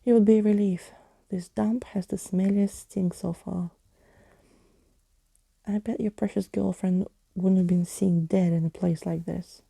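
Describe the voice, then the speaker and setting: A sharp-tongued storybook girl, as a woman reader puts her on, forever complaining and sceptical. Her sarcasm comes in a very mellow, breathy whisper, close and dry. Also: medium pitch, fairly even intonation, measured pace, dry recording. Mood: grumbling, scornful. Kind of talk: whispered bedtime storybook reading.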